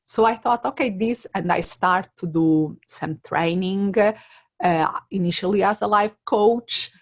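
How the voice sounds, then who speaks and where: A woman's voice: mid-range voice, a little slow. A middle-aged woman with a Portuguese accent, speaking as an interview guest and explaining things conversationally through examples and personal stories. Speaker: interview guest, career coach.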